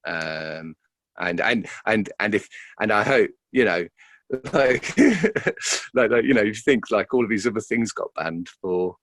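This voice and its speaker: A man, an actor being interviewed, talking reflectively and casually in a halting way, often repeating words as he thinks. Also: fairly low pitch, a bit bright, dry recording.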